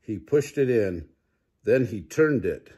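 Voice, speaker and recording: Older male storybook reader, husky and unhurried, playful, animated delivery. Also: on the low side. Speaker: grandfather reading picture books aloud. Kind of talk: expressive children's read-aloud narration. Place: living room couch, indoors.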